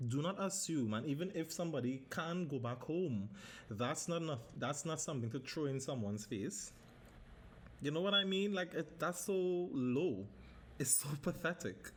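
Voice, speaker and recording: A man's voice: a little high, expressive, moderate pace. A man in his thirties from Trinidad with a Trinidadian accent, hosting a casual solo podcast, swearing freely and slipping into Caribbean dialect. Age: 30s. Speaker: podcast host.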